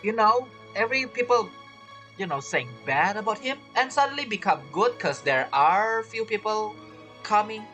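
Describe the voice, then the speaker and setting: A video game streamer giving casual commentary in halting, non-native English phrasing. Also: medium pitch, lively intonation, bright, forceful tone, poor audio. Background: game music.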